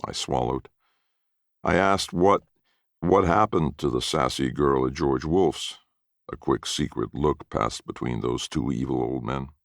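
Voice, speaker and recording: A man's voice: very deep voice, a little slow, gravelly, dry recording, studio quality. A man reading a first-person story as narrator in a folksy storytelling manner.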